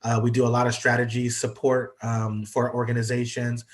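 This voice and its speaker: A man's voice: mid-range voice, completely flat delivery, normal speed. A congested man gives an online presentation as a guest speaker, talking hesitantly with many fillers. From United States. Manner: hesitant, lots of ums and uhs. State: congested from allergies. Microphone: video call.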